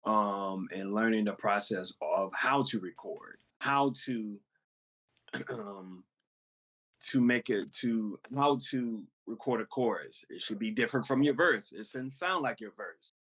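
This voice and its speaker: A man who raps, answering interview questions in a relaxed, conversational way about recording music. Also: fairly low pitch, measured pace. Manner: relaxed, explains with many "you know what I'm saying". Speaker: rapper guest. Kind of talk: casual interview talk.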